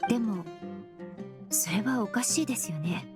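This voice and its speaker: A woman's voice: medium pitch, animated, normal speed, natural voice. A woman playing a composed young female perfumer who reasons politely and formally, in anime game acting. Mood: calm, thoughtful. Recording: background music under the voice.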